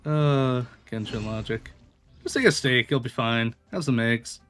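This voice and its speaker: A man's voice: mid-range voice, a little slow, a bit bright, soft background music. A man giving casual, playful commentary as he streams a video game, often exclaiming and talking to the characters.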